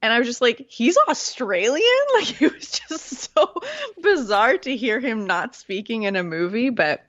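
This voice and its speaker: A woman's voice: a little high, very animated, unhurried, fairly bright tone, dry recording. A woman with a Canadian accent chatting casually as an interview guest, giggly and self-deprecating, on a slightly lo-fi recording. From Canadian prairies, Manitoba. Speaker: podcast guest, clarity coach.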